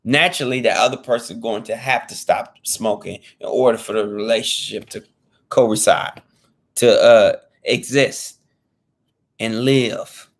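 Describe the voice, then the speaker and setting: A man's voice: lowish voice, measured pace. A man in his thirties talking casually to camera as a fitness vlogger, earnest and repetitive, restating his points in informal slang. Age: 30s.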